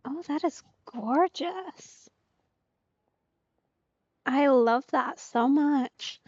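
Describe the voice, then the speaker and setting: A woman's voice: high-pitched, very slow, darkish tone, no room sound. A woman streamer giving chatty, informal commentary as she plays a video game. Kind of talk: casual game let's-play commentary. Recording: slightly dull close mic, game music behind. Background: game music.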